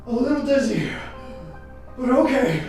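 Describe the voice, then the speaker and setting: Someone acting woozy calm scientist, radio drama, slow talking, big echo, faint music. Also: high-pitched. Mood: dazed. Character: dizzy, exposed scientist staying calm. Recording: very echoey, distant, music behind.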